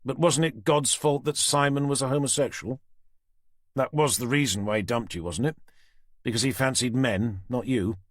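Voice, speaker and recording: A man voicing a firm, measured male detective inspector in an audiobook. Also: mid-range voice, no room sound, clean studio sound, pitched above the performer's own voice, lightly acted. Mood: probing. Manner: firm, placating, controlled.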